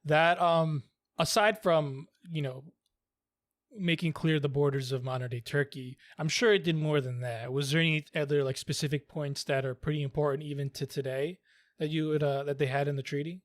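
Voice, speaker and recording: A man's voice: a little high, steady tone, normal speed, no echo. A young man co-hosting a podcast, rambling and searching for words as he asks questions. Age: young adult. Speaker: podcast co-host. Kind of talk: casual chat, asking questions.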